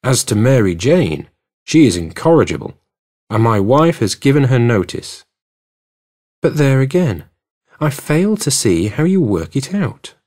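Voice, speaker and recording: A man voicing an earnest, puzzled gentleman doctor in an audiobook, polite and mildly exasperated. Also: mid-range voice, sing-song, unhurried. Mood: baffled, exasperated. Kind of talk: audiobook character dialogue.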